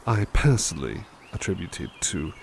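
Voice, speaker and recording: A man voicing a doting butler in a soft-spoken ASMR roleplay, talking gently and soothingly with hesitant restarts. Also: low-pitched, sing-song, normal speed, creaky, slight background noise.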